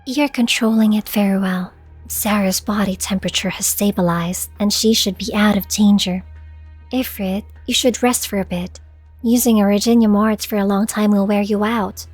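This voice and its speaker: A woman playing a gentle, calm caretaker doctor with soothing, quietly reassuring delivery in a fan dub. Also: mid-range voice, normal speed, slightly rough, dry recording, soft background music, natural voice. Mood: calm, caring. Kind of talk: fan-dub game drama acting.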